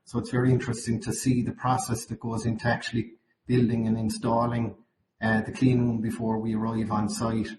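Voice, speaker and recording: Irish male webinar presenter, slightly croaky, monotone, recorded in an echoey space with faint noise. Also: mid-range voice, a little fast. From Ireland. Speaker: account manager presenting at a webinar. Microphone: video call. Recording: roomy, noisy webinar call audio.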